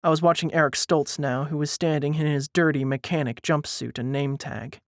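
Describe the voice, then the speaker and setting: A man's voice: on the high side, fairly even intonation, moderate pace, dry recording, clean studio sound. A man reading scripted first-person fiction as an audiobook narrator.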